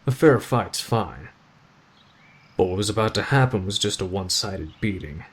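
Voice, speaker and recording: A man acting in an ASMR roleplay as a gruff, aloof teenage delinquent, deadpan and terse but protective of a friend. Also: on the low side, normal speed. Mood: gruffly caring.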